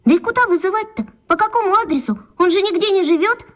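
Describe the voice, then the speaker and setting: A woman voicing a lively, curious and kind-hearted schoolgirl in a children's radio play, chattering in a childlike way. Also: very high voice, quick pace. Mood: pitying. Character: lively inquisitive schoolgirl Katya, tenderhearted.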